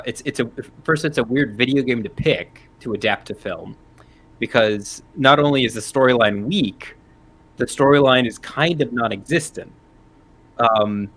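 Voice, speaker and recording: A man's voice: fairly low pitch, fairly bright tone. A man in his thirties giving analytical, unscripted panel commentary in a hesitant, thinking-aloud way. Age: 30s.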